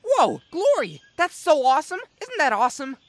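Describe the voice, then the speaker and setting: A man's voice: very high voice, wildly swooping pitch, normal speed, fairly bright tone, dry recording, heavily acted. A man playing a carefree young male dragon in a fantasy dub, a friendly, enthusiastic big brother. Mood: thrilled. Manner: breezy, enthusiastic. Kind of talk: dubbed fantasy drama acting.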